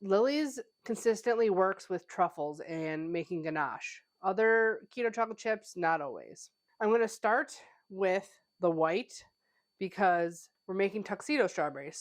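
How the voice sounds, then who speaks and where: Brief: woman home baker hosting her channel, mid-pitched, slightly congested from a cold, chatty and casual. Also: expressive, moderate pace. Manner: chatty, conversational, with frequent "like" fillers. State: stuffy, getting over a cold. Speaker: pastry chef and cooking channel host. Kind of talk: casual cooking tutorial. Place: home kitchen.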